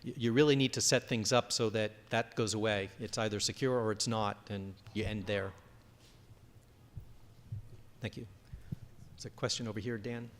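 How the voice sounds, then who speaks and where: A man's voice: fairly low pitch, fairly even intonation, normal speed, long pauses, a little hiss or hum. Man presenting in a reverberant hall. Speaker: conference speaker. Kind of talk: conference presentation with audience questions. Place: large conference room.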